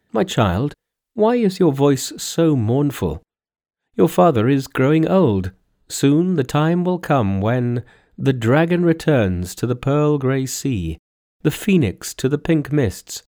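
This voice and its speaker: A man's voice: on the high side, sing-song, slow, mellow, pitched above the performer's own voice, full character voice. A man voicing a sorrowful, anxious queen mother who speaks tenderly and formally in a storybook tale. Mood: tender concern. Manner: formal, plaintive, pleading. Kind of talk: storybook dialogue in a narrated folk tale.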